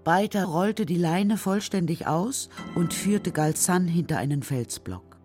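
A woman with a low voice narrates a children's radio drama. She reads the scripted story in a measured storytelling way, with faint music behind her. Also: lively intonation, a little mellow.

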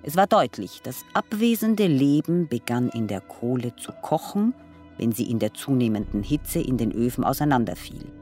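A man recites literary prose dramatically for a radio sound piece, in deliberate, weighted fragments. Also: medium pitch, lively intonation, a little mellow. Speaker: reader in a radio sound composition. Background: music.

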